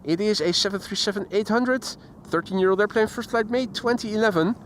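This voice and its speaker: A man with a fairly high voice talks casually through a live stream outdoors, greeting viewers by name and chatting between topics. A faint outdoor hum sits behind him, picked up by a camera-mounted mic. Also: brisk. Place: outdoors near an airport. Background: faint outdoor traffic and voices.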